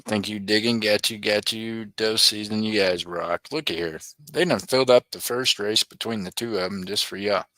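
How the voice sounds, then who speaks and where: A man's voice: on the low side, moderate pace, sharp tone, dry recording. A middle-aged man hosting a live auction stream, chatting and joking with viewers by name. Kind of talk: casual livestream chat.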